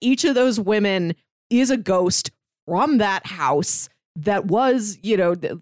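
A woman's voice: mid-range voice, animated, slow, no echo, clean studio sound. A woman co-hosting a casual review chat, retelling stories in a conversational way. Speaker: podcast co-host reviewing audio drama.

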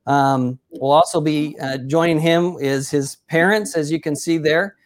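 A man's voice: a little high, unhurried. A man hosting a casual sports interview, upbeat and effusive in his praise of his guest. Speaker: interview host.

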